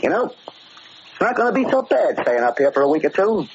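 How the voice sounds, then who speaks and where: An actor playing a paranoid, bullying gangster in a gruff, slangy, commanding way, in 1940s radio drama style. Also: male voice, high voice, big pitch swings, normal speed. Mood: gruff, impatient. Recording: noisy, muffled old-time radio recording.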